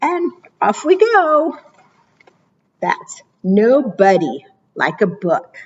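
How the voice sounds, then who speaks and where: A woman librarian reading picture books and leading rhymes for preschoolers in a slow, encouraging sing-song way. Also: fairly low pitch, a little mellow, highs cut off. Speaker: children's librarian.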